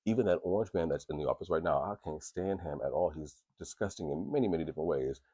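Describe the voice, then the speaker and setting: A man with an extremely low, deep voice that carries a husky, grainy edge. He talks frankly into a podcast microphone, rambling and restarting, and swears freely. The sound is close and dry, with no room around it. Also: expressive, quick pace, mellow, no room sound. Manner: candid, profane and rambling, with many restarts. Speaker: podcast host. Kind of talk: casual podcast talk.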